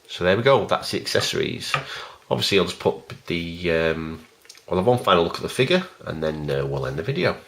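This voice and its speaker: A man giving a casual, rambling video review of a collectible figure in a plain, even voice, stopping often for ums and uhs. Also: low voice, fairly quick, creaky, slight background noise. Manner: rambling and hesitant, many fillers.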